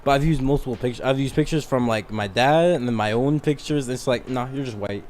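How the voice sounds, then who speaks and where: A man's voice: medium pitch, brisk, a little mellow, soft background music. A young man chatting casually and slangily as a podcast co-host.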